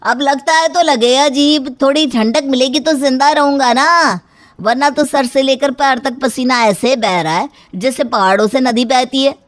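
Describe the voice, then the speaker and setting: A woman's voice: sits high, moderate pace, natural voice. A woman voicing a stubborn, complaining uncle in a comic cartoon story. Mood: grumbling. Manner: complaining, insistent. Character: stubborn, overheated male uncle grumbling comically.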